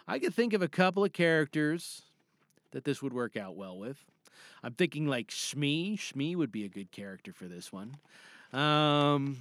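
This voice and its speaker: A man talks as a podcast host, chatty and playful, thinking out loud. His voice is bright and lively in pitch, captured dry and close. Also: dry recording. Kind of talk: casual podcast talk.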